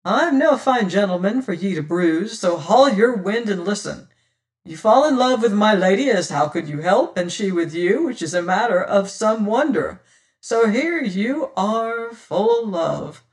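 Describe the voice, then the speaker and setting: A silver-tongued, scornful pirate rogue from an adventure audiobook, acted out by a male reader. He lectures and taunts in old seafaring speech, slowly and in a high register, slightly off the microphone. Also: expressive, recorded from a distance. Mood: scornful lecturing. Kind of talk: dramatised audiobook reading of an adventure tale.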